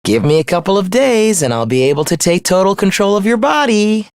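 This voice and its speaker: A man's voice: high-pitched, sing-song, brisk, clean tone, dry recording, studio quality. A young man playing a smug, mocking villain in a video game, with a condescending, theatrical delivery. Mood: smug, taunting. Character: taunting, smug young villain living in someone's head. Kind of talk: video game character acting.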